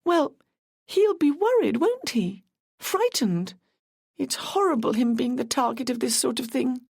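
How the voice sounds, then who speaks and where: A woman's voice: animated, measured pace, creaky, a little mellow, breathy edge, dry recording, studio quality, heavily acted. A woman voicing a timid, tearful young woman in her twenties who sounds anxious and gushing. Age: 20s. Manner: high, sniffly, anxious and gushing.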